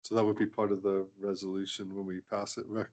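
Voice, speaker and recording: Man chairing a council meeting, brisk. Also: deep voice, flat delivery, darkish tone. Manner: brisk and procedural.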